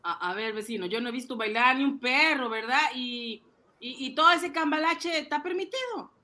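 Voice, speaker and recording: A man voicing an excitable, boastful ice-cream seller who fancies himself a banker, cajoling and exclaiming in a comic radio drama. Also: very high-pitched, moderate pace, pitched above the performer's own voice, full character voice. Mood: eager, curious.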